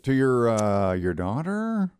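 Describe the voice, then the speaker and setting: Wry male radio co-host, medium pitch. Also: sing-song, takes it slowly, darkish tone, clear, resonant tone. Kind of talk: casual radio banter.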